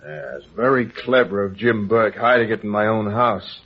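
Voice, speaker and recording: A man's voice: fairly low pitch, expressive, moderate pace, breathy edge. Curt, menacing gunman, muffled vintage radio. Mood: threatening. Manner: curt, threatening. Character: menacing, suspicious male murderer holding a gun. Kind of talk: vintage radio crime drama acting.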